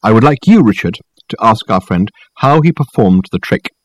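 A man's voice: on the low side, animated, moderate pace, dry recording, muffled recording. A man voicing an imperious, testy male detective with an airy, impatient, theatrical manner in an audiobook.

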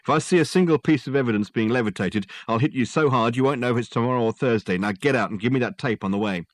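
A man doing a gruff, blustering police sergeant. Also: medium pitch, animated, fast talker, dry recording, natural voice. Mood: menacing. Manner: loud, sarcastic, threatening.

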